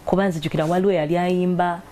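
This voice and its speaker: A woman singer with a deep voice and a Ugandan accent, telling stories in a relaxed interview and mixing Luganda with English. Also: rapid-fire. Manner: mixes Luganda and English, reflective storytelling. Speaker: singer and guest. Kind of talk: casual interview talk.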